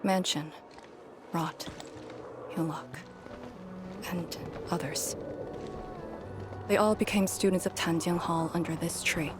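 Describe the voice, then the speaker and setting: An actress playing a solemn, battle-worn woman commander in a video game, speaking in a grave, measured, formal way. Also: lowish voice, steady tone, noisy background. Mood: somber, wistful. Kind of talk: dramatic video game story dialogue. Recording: game music behind the voice.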